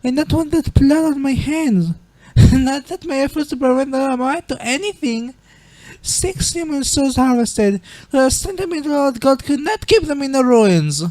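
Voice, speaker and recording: A woman playing a gentle, proper, remorseful monster queen and mother in a dramatic comic dub, with formal, refined diction. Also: high-pitched, lively intonation, moderate pace, no echo, poor audio.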